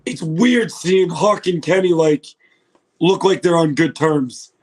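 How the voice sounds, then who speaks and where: A hoarse man hosting a casual livestream reaction, excitable and laughing, swearing now and then, recorded on a phone. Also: on the high side, takes it slowly. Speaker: livestream host.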